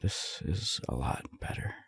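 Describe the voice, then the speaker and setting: A man playing a lovestruck, self-deprecating non-binary friend in an intimate romantic roleplay audio, speaking softly and haltingly in a breathy, husky voice. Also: no room sound, close to an everyday voice. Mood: shy, happy. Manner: hesitant, halting, soft-spoken.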